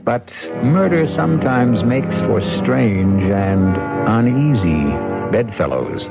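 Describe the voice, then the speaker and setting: A man hosting a 1970s radio mystery, reading scripted narration in a measured, wry, ominous manner. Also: mid-range voice, expressive, vocal fry. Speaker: host and narrator. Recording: dull old radio broadcast. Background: loud dramatic music.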